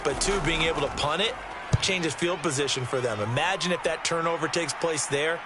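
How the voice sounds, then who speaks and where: A man's voice: on the high side, brisk, heavy background noise, lightly acted. A man with an American accent giving conversational, explanatory color commentary on a football game. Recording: game audio with loud music underneath.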